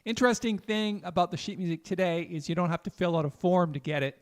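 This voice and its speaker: A man with a raspy voice, chatting casually while teaching jazz piano on a livestream. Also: high voice, quick pace. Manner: relaxed, chatty, addresses chat viewers.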